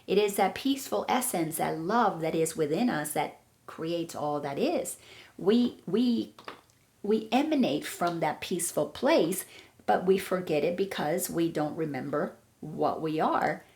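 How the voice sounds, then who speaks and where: A woman's voice: lowish voice, animated, measured pace, darkish tone. A woman awareness coach giving an earnest, reflective spiritual teaching talk, repeating words for emphasis.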